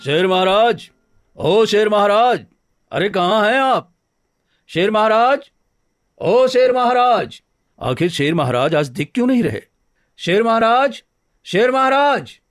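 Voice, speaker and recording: Homely cartoon woodcutter, male actor, eager and reassuring, slightly high pitch, very dry sound. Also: lively intonation, takes it slowly, long pauses, dry recording. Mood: calling out. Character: good-natured, eager married woodcutter, a simple villager. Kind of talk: Hindi cartoon story dialogue.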